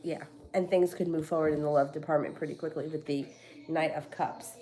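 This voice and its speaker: A woman's voice: sits low, fairly even intonation, fairly quick, mellow, low-quality recording. Stuffy-voiced chatty woman reading tarot. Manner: rambling, chatty, with many fillers and restarts. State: stuffy from a cold. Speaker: tarot reader. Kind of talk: casual live-stream tarot reading. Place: home.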